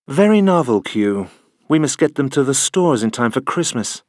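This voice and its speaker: A man's voice: mid-range voice, normal speed, no echo, natural voice. Male actor as suave spy, wry, unflappable, lilting intonation, dry close recording, game one-liners. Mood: wry, cool. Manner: dry, wry, deadpan quips. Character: suave, unflappable British secret agent.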